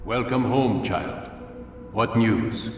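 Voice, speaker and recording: An actor playing a stern, sage old master of assassins in a video game, speaking formally and deliberately, like a teacher. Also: male voice, medium pitch, close to an everyday voice. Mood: stately. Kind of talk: video game cutscene acting. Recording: distant, very echoey stone hall, music.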